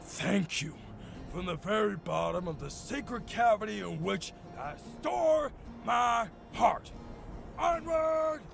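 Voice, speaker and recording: A flamboyant visionary eccentric, high-pitched and creaky. He declaims in florid, pompous phrases that swoop theatrically up and down. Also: expressive, takes it slowly, exaggerated voice. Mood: exultant. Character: flamboyant eccentric male visionary inventor, grandly effusive. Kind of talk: reading of a letter in character. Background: faint music.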